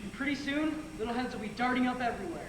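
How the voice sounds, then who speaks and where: A teenage male student actor playing a mischievous high school boy on an amateur stage. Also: fast. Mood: sly explaining. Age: teens. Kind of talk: amateur stage play acting. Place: theatre stage, indoors. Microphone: far camera. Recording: echoey stage, clearly noisy camera audio.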